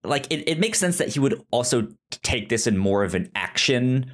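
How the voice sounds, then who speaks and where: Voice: mid-range voice, animated, normal speed. Co-host just chatting movies, kinda hesitant and stammery, voice goes up and down a lot. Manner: hesitant, stammering, repeats words. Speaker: podcast co-host. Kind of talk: casual film discussion.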